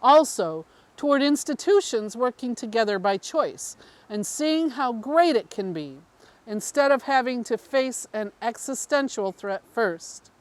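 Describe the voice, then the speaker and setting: A woman's voice: fairly high pitch, animated, measured pace. A woman reading the part of an undercover alien woman and devoted mother, explaining her mission in an earnest, wry, matter-of-fact way. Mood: wry, explanatory. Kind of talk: first-person story reading.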